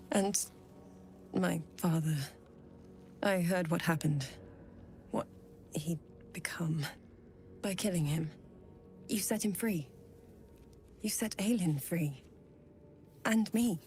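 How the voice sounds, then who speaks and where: A hushed young woman back from death. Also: fairly low pitch, animated, soft background music. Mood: shaken. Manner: slow, hushed, halting storytelling. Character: young woman risen from death, grieving and gentle. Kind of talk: video game voice acting.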